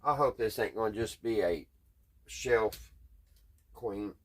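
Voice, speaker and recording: A man's voice: on the low side, takes it slowly, fairly bright tone. A man with a Southern US accent giving casual, hands-on repair commentary in a folksy, hesitant way, thinking aloud as he goes. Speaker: vlogger, radio restoration hobbyist.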